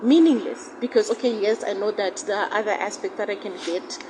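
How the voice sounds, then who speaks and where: A woman's voice: mid-range voice, fairly quick. Woman guest, frank casual podcast chat, noisy recording. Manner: frank, lots of fillers like "you know". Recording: noisy background.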